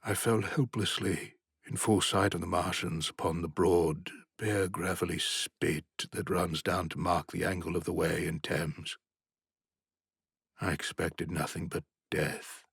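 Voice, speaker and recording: A man's voice: low voice, fairly even intonation, measured pace, vocal fry, a bit bright, slightly rough, no room sound, clean studio sound. A man narrating a classic novel as an audiobook, intense and dramatic, climbing to urgent peaks in the action scenes.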